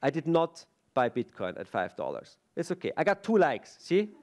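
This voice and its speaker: A middle-aged Austrian man with a slightly higher-pitched voice, speaking English with an Austrian German accent. He gives a chatty, self-mocking conference talk into a stage microphone, with lots of ums and restarts. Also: huge pitch swings, moderate pace, a little mellow, slight background noise. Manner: chatty, self-deprecating, many fillers and restarts.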